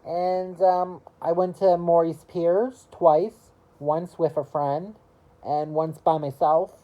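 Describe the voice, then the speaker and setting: A woman in her early twenties chatting casually to camera about her year, rambling and halting, with lots of "you know" fillers. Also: lowish voice, little pitch movement, lo-fi sound. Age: early 20s. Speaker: vlogger.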